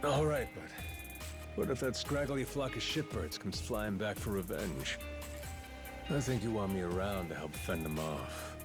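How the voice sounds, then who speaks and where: Brash tough guy, animated delivery. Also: fairly low pitch, measured pace, over music. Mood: wry. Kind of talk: English video game dub acting.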